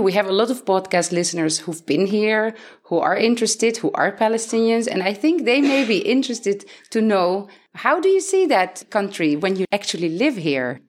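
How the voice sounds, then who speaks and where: A woman from the Netherlands hosting a podcast, speaking in a conversational presenting style. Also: medium pitch. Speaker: podcast host.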